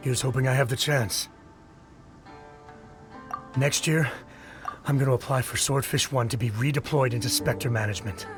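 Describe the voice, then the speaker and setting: A man acts a weary, wary soldier in a video game. His mid-pitched voice is dry and casual, with a grumbling, down-to-earth manner. Music plays clearly underneath. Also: steady tone, normal speed, pauses often, close to an everyday voice. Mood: weary dread.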